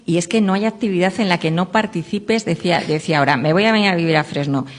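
A woman's voice: lowish voice, fast talker. A woman with a Castilian accent hosting a live radio interview in an effusive, conversational way, with long reverb on her voice. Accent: Castilian Spanish. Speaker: radio host.

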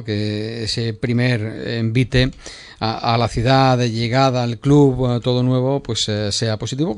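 A man's voice: medium pitch, smooth. A man presenting a sports news piece in a conversational way, with frequent fillers and hesitations.

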